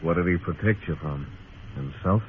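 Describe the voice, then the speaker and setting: Actor playing a low-voiced, wry American western marshal. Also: male voice, normal speed, a little hiss or hum, close to an everyday voice. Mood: wary questioning. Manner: laconic, wry, deadpan. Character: hard-boiled, wry US marshal narrating his adventure. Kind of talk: old-time radio western acting, first-person narration. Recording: muffled old radio recording with music.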